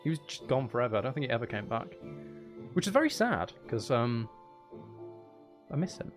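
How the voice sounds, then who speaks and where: A man's voice: mid-range voice, sing-song, brisk, faint music behind. A man chatting casually as he hosts a live game stream, playfully mock-dramatic and self-deprecating with his viewers. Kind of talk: casual chatty gaming livestream talk with viewers.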